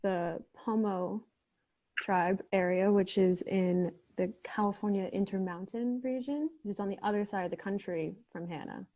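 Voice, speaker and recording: A woman's voice: mid-range voice, normal speed, dark tone, highs cut off. A woman introducing herself and earnestly explaining a friendship craft card for a workshop. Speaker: workshop presenter. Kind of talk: instructional talk.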